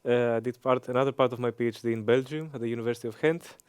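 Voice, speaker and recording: A man in his thirties with a Greek accent, answering interview questions with many hesitant pauses and fillers. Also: mid-range voice, steady tone, clear, resonant tone, no echo, studio quality. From Greece. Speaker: interview guest, engineering professor.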